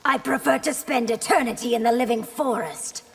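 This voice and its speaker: A woman's voice: fairly high pitch, normal speed, vocal fry, background noise. A woman playing a stern young empress in a video game, commanding and confrontational. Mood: stern, accusing. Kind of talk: video game character dialogue. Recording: loud music under the voice.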